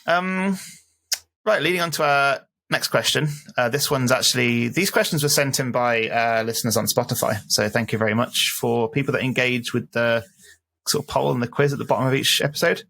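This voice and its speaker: A man co-hosting a gaming podcast, chatting casually and reading listener questions out slowly. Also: mid-range voice, gravelly, bright, forceful tone, low-quality recording. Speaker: podcast co-host.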